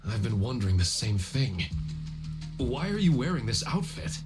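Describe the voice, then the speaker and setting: Steady, earnest young man played by a male actor, plain-spoken video game adventurer, expressive mid-range voice. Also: medium pitch, moderate pace, close to an everyday voice. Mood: serious, concerned. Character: steady, protective young man exploring a dangerous zone. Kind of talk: English video game dub acting. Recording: game music behind the voice.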